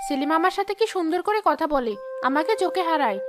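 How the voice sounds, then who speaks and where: A woman's voice: very high voice, animated, fast, over music. A woman playing a vain, spiteful young sister-in-law in a melodramatic cartoon, haughty and taunting. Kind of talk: melodramatic cartoon story dialogue.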